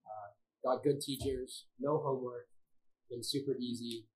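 Young male student, medium pitch, casual self-introduction. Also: steady tone, unhurried, poor audio. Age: young adult. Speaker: student interviewee.

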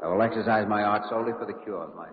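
An actor playing a calm, earnest young doctor with a wry streak in an old radio drama. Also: male voice, on the low side, racing, phone-quality sound, loud music behind the voice, lightly acted. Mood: solemn. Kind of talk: 1940s radio drama acting. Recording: thin, echoing vintage radio recording.

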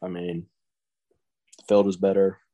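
A teenage boy with a Southern accent answers podcast questions in a low, level voice. He stays laid-back, leans on "I mean" and rarely lifts his tone. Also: flat delivery, measured pace, warm tone. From Texas. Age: teens. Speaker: guest, high school baseball recruit. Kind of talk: casual interview answers.

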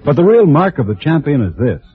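A man's voice: on the high side, sing-song, brisk, breathy edge, soft background music. A man working as a radio announcer, reading a commercial in 1940s style. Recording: thin, muffled old radio broadcast.

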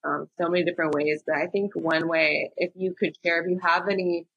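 A woman's voice: lowish voice, fairly even intonation, brisk, a bit bright, breathy edge, no echo, highs cut off. A woman hosting an interview, asking hesitant, rambling questions. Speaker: host.

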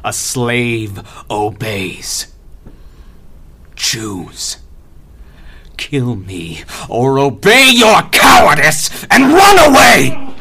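A man playing a cold, imperious tyrant in an audio drama, pompous, deliberate and contemptuous. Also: medium pitch, very animated, sharp tone, husky, full character voice. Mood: commanding contempt.

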